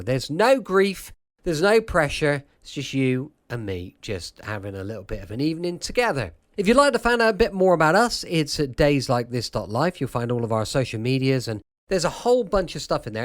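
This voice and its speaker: A man hosting a radio podcast, giving a relaxed, informal introduction straight to the listener. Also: fairly high pitch. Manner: informal, addresses listener directly. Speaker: radio show host.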